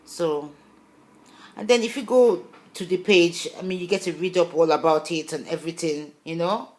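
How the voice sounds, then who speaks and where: A woman's voice: fairly low pitch, normal speed, darkish tone, echoey room. A woman vlogger, English not her first language, talking to her viewers casually with emphatic, insistent points and loose rambling asides. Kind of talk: casual video talk to viewers.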